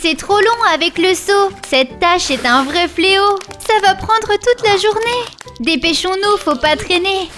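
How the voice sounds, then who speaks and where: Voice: very high voice, unhurried, sharp tone, heavily acted. An actor voicing a playful, impatient little child in a rhyming children's cartoon, with exclamatory singsong delivery. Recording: music behind the voice. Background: children's music.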